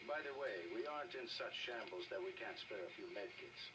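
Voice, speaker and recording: A man's voice: on the low side, animated, fairly quick, natural voice. A man playing a harried, weary military medic in a video game, earnest in delivery. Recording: noisy, slightly distant game audio.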